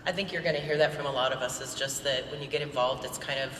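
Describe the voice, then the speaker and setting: A woman's voice: rumbling low, fairly even intonation, fast talker, a bit bright. An American woman talking casually as a panelist at a live event. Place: large event hall. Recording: echoey hall with faint noise.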